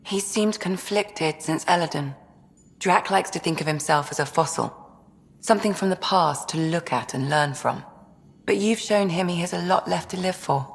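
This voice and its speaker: An actress playing a calm, perceptive ship's doctor in a video game, with a measured, reassuring manner and a low, slightly gravelly voice. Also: female voice, in a large hall. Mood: warm, thoughtful. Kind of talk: video game dialogue acting.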